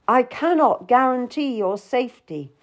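Woman doing an angry cold guy in an audiobook, stern n accusing, swingy pitch, takes it slow. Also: expressive, highs cut off. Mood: cold, urgent. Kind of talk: dramatised audiobook dialogue.

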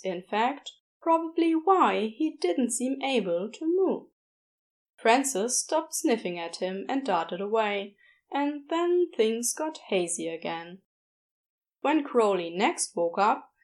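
A woman reading a story aloud as an audiobook narrator, in expressive scripted narration. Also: on the high side. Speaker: audiobook narrator of fan fiction.

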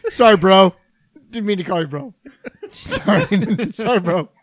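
A man's voice: high voice, expressive, no echo, full character voice. A man putting on a voice to play a slangy, laid-back bro acting as a lawyer. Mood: cocky. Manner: drawling bro slang, fast and loose.

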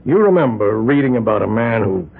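An actor playing a domineering wealthy mill owner who leads a vigilante group, in a 1970s radio drama, with a husky, gravelly voice. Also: male voice, medium pitch, lively intonation, fairly quick. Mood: pleading. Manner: blustering, swings from soft to loud. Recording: narrow-band old radio broadcast.

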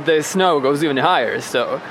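A man's voice: on the high side, normal speed, noisy background. A man from western Ukraine with an Eastern European accent, talking casually and reflectively as a podcast guest. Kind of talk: casual interview.

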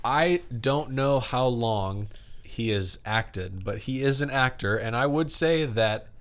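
A man's voice: medium pitch, expressive, takes it slowly, fairly bright tone, dry recording. Chatty young man, laughing host. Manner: laughs often, rambling and chatty. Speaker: podcast host. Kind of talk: casual podcast chat.

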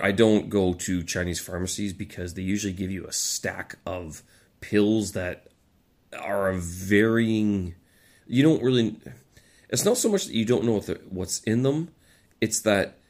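A man's voice: fairly low pitch, darkish tone. A man with a stuffy nose from a head cold, rambling in a casual vlog with many fillers and restarts. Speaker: vlogger. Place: living in China.

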